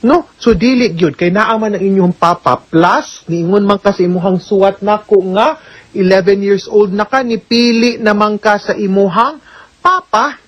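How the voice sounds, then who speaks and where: A woman's voice: mid-range voice, normal speed, no echo, lo-fi sound. A woman hosting a radio legal advice show, answering listeners' letters in a firm, explanatory way and slipping in English phrases. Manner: mixes in English words and phrases.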